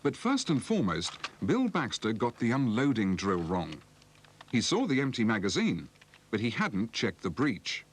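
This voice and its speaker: A British man delivering measured, explanatory scripted narration for an instructional film. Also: medium pitch, huge pitch swings, slightly rough. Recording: slightly noisy 1980s film soundtrack.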